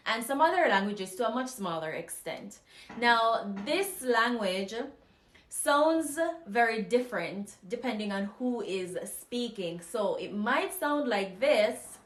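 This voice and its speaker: A woman with a Jamaican accent speaks in a fairly high voice as she explains a lesson. She talks in a clear, measured way. Her voice echoes in the room. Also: animated. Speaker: language teacher.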